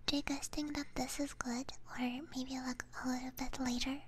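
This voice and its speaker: Female ASMR streamer, high-pitched, gentle breathy whisper close on a binaural mic, casual chat, noticeable noise on the recording. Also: little pitch movement, normal speed. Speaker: ASMR live streamer, host. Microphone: close binaural ASMR microphone.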